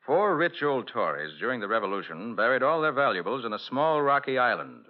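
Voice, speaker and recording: An actor playing a confident, genial master detective with an American accent in a 1940s radio drama, on an old broadcast recording. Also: male voice, mid-range voice, sing-song, brisk, highs cut off, lightly acted. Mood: friendly, inviting.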